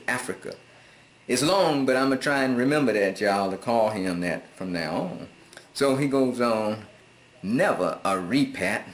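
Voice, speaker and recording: A middle-aged American man reading viewer comments aloud and answering them in a laid-back, amused and sarcastic way. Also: mid-range voice. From United States. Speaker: vlogger.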